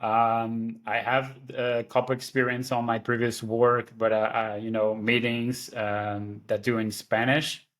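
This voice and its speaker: A man's voice: medium pitch, level tone, measured pace, hardly any pauses, a bit bright. A man from Brazil whose first language is Portuguese, speaking with a Portuguese accent, chatting as a guest over a video call with many restarts and fillers. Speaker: guest, online seller. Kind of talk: casual interview chat.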